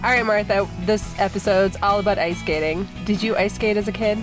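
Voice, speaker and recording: A woman's voice: mid-range voice, fairly even intonation, brisk, poor audio. Congested woman podcast co-host, 30s, from Minnesota, chatty with frequent laughs, faint music under. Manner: chatty, laughs often, many restarts. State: congested from a cold. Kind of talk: casual podcast chat.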